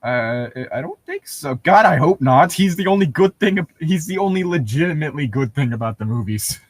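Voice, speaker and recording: A man's voice: a little high, animated, brisk, husky, no room sound. A young adult man co-hosting a comedic fan fiction reading, joking casually, giggly, incredulous and prone to swearing. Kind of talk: casual, joking commentary and read-aloud.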